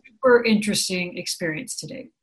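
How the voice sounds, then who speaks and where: A woman's voice: mid-range voice, wildly swooping pitch, brisk. A middle-aged American woman from San Francisco, a program director, answering interview questions in a chatty, upbeat way. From San Francisco, USA. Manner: chatty, upbeat, explains with examples.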